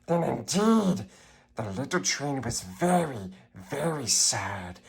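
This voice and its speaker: A man voicing a wretched, throaty creature who reads a children's storybook in a theatrical croak. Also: mid-range voice, very animated, takes it slowly, exaggerated voice. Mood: dramatic narrating. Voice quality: raspy, strained throaty croak. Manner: theatrical, wildly swooping delivery. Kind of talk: dramatic storybook reading.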